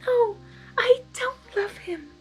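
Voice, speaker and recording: Woman putting on a high, tearful young pony girl. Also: animated, slow, faint music behind, full character voice, rough recording. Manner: pleading, emphatic outbursts. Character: timid young female pony, heartbroken and defiant.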